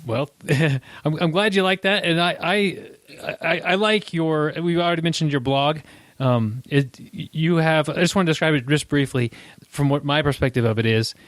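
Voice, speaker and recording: Podcast host with a dry sound. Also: male voice, fairly high pitch, a bit bright, dry recording. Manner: thoughtful, halting, many restarts. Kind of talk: casual interview chat.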